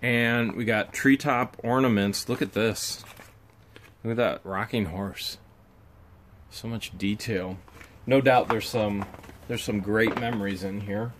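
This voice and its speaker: A man's voice: lowish voice, fairly even intonation, slow, a bit bright, a little hiss or hum. A man hosting an unboxing vlog, chatting casually and exclaiming over each find, recorded on a handheld camera in a garage. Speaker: vlog host unboxing storage finds.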